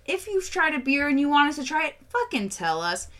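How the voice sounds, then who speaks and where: Bright, lively young woman co-hosting a podcast. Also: high voice, brisk. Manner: swears freely, loose and playful. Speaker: podcast co-host. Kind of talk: casual beer-tasting chat.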